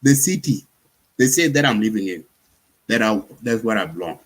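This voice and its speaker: A man's voice: fairly low pitch, expressive, moderate pace, husky. A man from Sudan speaking in a casual panel discussion, explaining at length and often checking in with "you see?". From South Sudan.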